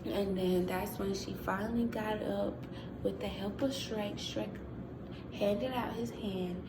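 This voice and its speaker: A woman telling an improvised children's story in a deliberate way, often repeating phrases. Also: medium pitch, fairly even intonation. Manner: slow, deliberate, repeating phrases, with sound effects. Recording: clearly noisy, ambient room sound.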